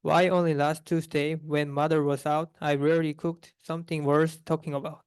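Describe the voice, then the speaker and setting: A man's voice: a little high, flat delivery, normal speed, smooth, no echo. A young man, a university student, reading a rhyming children's story aloud. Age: young adult.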